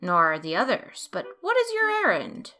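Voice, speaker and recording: A woman's voice: medium pitch, huge pitch swings, normal speed, pushed and bright. A woman playing a curt, officious butler in an audio drama, with clipped, emphatic delivery. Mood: politely officious. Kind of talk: theatrical audio drama acting.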